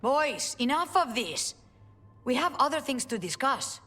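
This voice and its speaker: A woman's voice: high-pitched, big pitch swings, unhurried, bright, forceful tone, faint music behind, full character voice. A woman voicing a wry, commanding, motherly assassin matriarch in a video game. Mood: brisk, exasperated.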